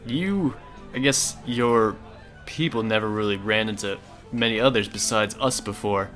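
A man playing a friendly, frank sailor in a tabletop game, speaking casually and politely with halting phrases. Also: lowish voice, fairly bright tone.

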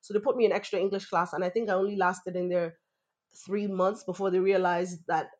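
A woman from Nigeria, a spoken word artist, recalling her childhood in a relaxed, reflective way. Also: on the low side, brisk. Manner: relaxed, reflective, many fillers. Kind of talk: casual interview. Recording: soft music bed behind voice.

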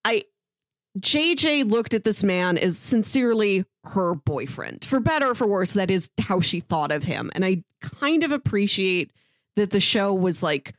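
A woman's voice: medium pitch, big pitch swings, a little slow, no room sound. A woman talking casually and thoughtfully as a podcast co-host, reviewing a story in conversation.

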